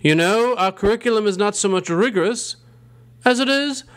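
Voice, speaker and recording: A man playing a ghoulish, gleefully punning college dean who hosts a campy horror show with theatrical, drawn-out delivery. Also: high voice, a bit bright. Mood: gleefully macabre.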